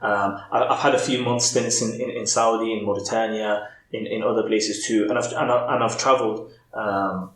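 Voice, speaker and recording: A man's voice: fairly low pitch, fairly even intonation, moderate pace, hardly any pauses, a bit bright, slight background noise. A man from England, a podcast guest thinking aloud candidly, often restarting his sentences. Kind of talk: casual interview chat. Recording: roomy echo.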